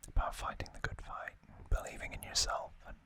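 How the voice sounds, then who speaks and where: A man whispering in a hushed, deliberate manner, as an ASMR host chatting through an unboxing.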